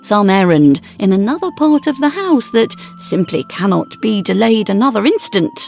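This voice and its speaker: An actress playing a composed, wry and fearless wife in a period ghost story, measured, theatrical and dryly pointed. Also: female voice, mid-range voice, big pitch swings, creaky, darkish tone, soft background music. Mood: wry, exposing. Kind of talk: period ghost story audio drama acting.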